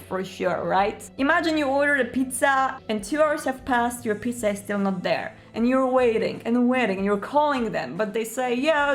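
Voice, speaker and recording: A woman presenting tips in an explanatory video monologue, in a conversational manner. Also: a little high.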